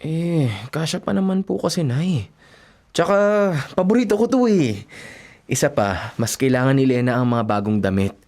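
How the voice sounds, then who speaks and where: An actor as a devoted young Filipino boyfriend, gently earnest. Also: male voice, medium pitch, normal speed, close to an everyday voice. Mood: earnest, defending. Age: young adult. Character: devoted, self-sacrificing young working boyfriend and son. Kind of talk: Tagalog radio drama acting.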